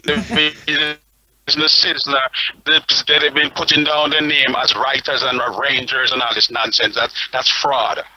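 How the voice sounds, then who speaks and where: A man's voice: medium pitch, level tone, unhurried, sharp tone, poor audio. A man on a radio panel giving blunt, emphatic opinions with indignant force.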